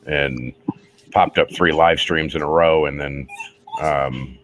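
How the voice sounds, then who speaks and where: Deep-voiced easygoing male stream host. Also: fairly even intonation, takes it slowly, a bit bright, slight background noise. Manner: rambling, easygoing, breaks off mid-sentence. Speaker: livestream host. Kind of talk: casual group chat.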